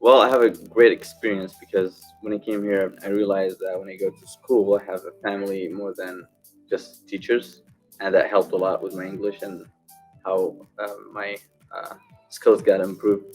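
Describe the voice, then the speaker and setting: A man's voice: low-pitched, flat delivery, moderate pace, darkish tone, rough recording. A young man with a Saudi Arabian accent giving an unscripted testimonial as a student. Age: young adult. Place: indoor lounge or office. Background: faint music.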